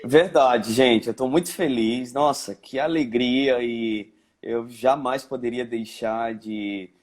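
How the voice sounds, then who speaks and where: A man's voice: mid-range voice, takes it slowly. Emotional Pernambuco-accented pastor in his 30s. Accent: Pernambuco Portuguese, slightly mixed. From Caruaru, Brazil. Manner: emotional, grateful, chatty with filler "né" and "cara". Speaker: pastor, invited guest. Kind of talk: heartfelt casual chat on a church livestream.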